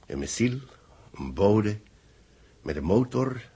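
A man's voice: fairly low pitch, very animated, mellow, breathy edge, full character voice. A Dutch actor playing a grave senior man who declaims space bulletins solemnly in a 1960s radio drama. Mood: grave wonder. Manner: solemn, theatrical, deliberate declamation.

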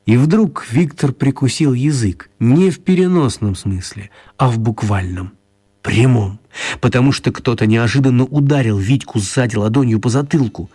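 A man narrating a children's story for radio in an expressive storytelling style. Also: medium pitch, normal speed, husky.

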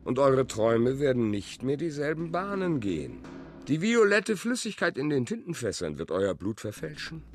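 An actor playing an ominous, talkative village workman who delivers rhetorical warnings in a radio drama. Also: medium pitch. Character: one-handed village workman, prophetic, ominous, talkative orator.

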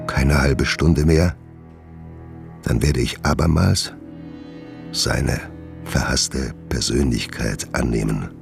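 A man acting in a radio drama as a doomed, melancholy gentleman doctor, solemn and weary in a deliberate confession. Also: a bass voice, creaky, full character voice. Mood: grim, dreading.

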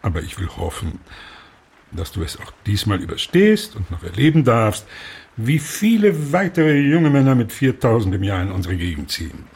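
An actor playing a middle-aged husband and father in a period radio drama, dry, sardonic and measured, with a husky voice. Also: on the low side, big pitch swings, darkish tone, full character voice. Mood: mock soothing.

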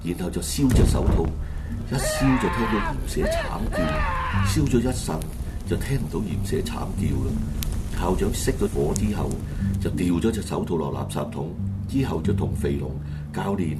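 A man's voice: deep voice, huge pitch swings, moderate pace. A man with a Hong Kong Cantonese accent narrating a horror radio drama in a dramatic scripted storytelling style. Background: loud music.

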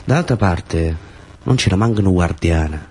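A man's voice: sits low, lively intonation, normal speed, a little mellow, husky. A man with a southern Italian accent telling a first-person theatre story in short phrases with incantatory repetition. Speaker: actor-storyteller.

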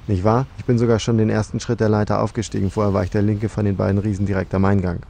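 Chatty blue-collar giant played by a man: quick, gravelly, casual, for a fantasy parody. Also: fairly low pitch, level tone, warm tone. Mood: chummy. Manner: casual, matter-of-fact. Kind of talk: German fantasy parody audio drama.

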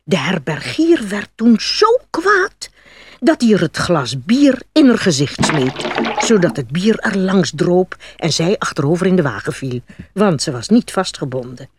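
A woman narrating a scripted fairy tale for children in an expressive, dramatic read-aloud style with a slightly husky voice. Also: fairly low pitch, a little slow, a little hiss or hum. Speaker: storyteller.

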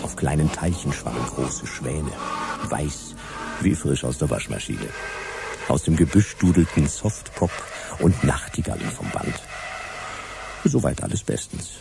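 An actor playing a grumpy, nostalgic private detective of the future in a German radio play, wry and laconic. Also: male voice, rumbling low, animated, a little slow, vocal fry, heavily acted. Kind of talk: German radio play, first-person noir narration. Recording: loud music behind the voice.

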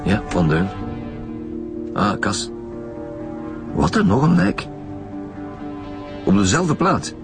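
An actor playing an authoritative, wry Flemish chief homicide detective in a radio crime drama. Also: male voice, on the low side, very animated, takes it slowly, vocal fry, long pauses, over loud music, heavily acted. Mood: businesslike.

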